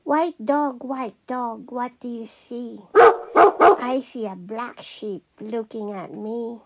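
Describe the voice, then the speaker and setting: High-voiced woman reading very slowly to children. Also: vocal fry, heavy background noise. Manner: singsong, deliberate repetitive phrasing. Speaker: teacher reading picture books aloud. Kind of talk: slow children's read-aloud.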